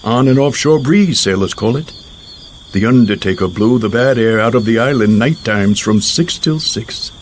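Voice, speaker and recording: A man's voice: medium pitch, moderate pace, natural voice. An actor playing an ageing, hearty Cayman Islander fisherman and guide in a radio drama, with a Caribbean accent and easygoing, folksy speech. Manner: easygoing, short plain instructions, folksy turns of phrase. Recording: noisy outdoor sound-effect ambience behind voice.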